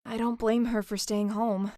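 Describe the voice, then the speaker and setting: A woman acting a gentle, hesitant, encouraging cafe customer in a fantasy audio drama. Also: on the high side, flat delivery, normal speed, no echo, studio quality, natural voice. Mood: awkward, kind. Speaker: voice actor in a fiction podcast.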